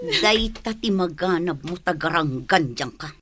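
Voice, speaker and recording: A woman's voice: fairly low pitch, expressive, a little slow, a bit bright. A woman playing a strict, suspicious, nagging mother in a comedy drama, with a northern Philippine accent. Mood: angry scolding. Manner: nagging, exclaiming, interrogating. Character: scolding, suspicious, strict mother of grown daughters. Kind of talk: amateur comedy drama acting.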